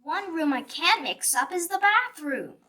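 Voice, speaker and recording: Woman playing a high-pitched, scatterbrained kindergarten teacher. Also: animated, measured pace, exaggerated voice. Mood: cheerful, eager. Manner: bright, eager, exclamatory, addressing small children. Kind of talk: children's storybook character voice. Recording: distant mic with music behind.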